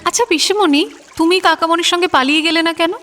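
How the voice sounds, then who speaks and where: A woman's voice: very high-pitched, quick pace, fairly bright tone, far from the mic, soft background music. A woman acting a young, remorseful niece in a radio drama, plaintive and questioning. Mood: curious.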